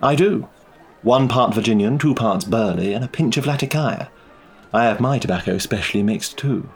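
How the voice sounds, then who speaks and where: A man playing a pompous but flustered British gentleman, bumbling and awkwardly polite. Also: mid-range voice, moderate pace, slightly rough, soft background music, lightly acted. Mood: eager. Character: pompous, flustered dandy gentleman named Artridge.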